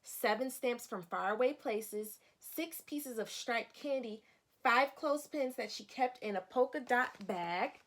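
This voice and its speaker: A woman's voice: on the high side, measured pace. A woman reading a picture book aloud like a teacher, guiding young children and putting questions to them. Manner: instructive, addressing young children.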